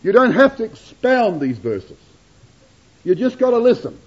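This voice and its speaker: Preacher guy with a husky highish voice, building up then dropping low, old lo-fi sermon tape. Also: big pitch swings, unhurried. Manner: dramatic rises and drops in pitch and loudness; addresses congregation as brethren and sisters. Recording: dull lo-fi sermon recording.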